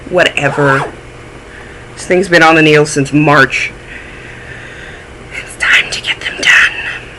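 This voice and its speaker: A man's voice: on the high side, fairly even intonation, slow pace, pauses often, whispered, poor audio. A man hosting a knitting podcast, chatting in a rambling, self-deprecating way and sounding a little phlegmy. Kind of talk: casual chat.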